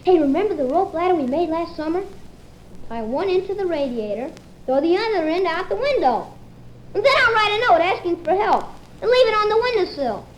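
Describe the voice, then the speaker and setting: Really high voiced whiny little boy, old radio comedy, lively and scheming, bit of hiss. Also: brisk, rough recording. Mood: eager, scheming. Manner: plaintive, boyish, slangy, given to exaggeration. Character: bored, bedridden young boy, eager, dramatic and wheedling. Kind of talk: old-time radio family comedy acting.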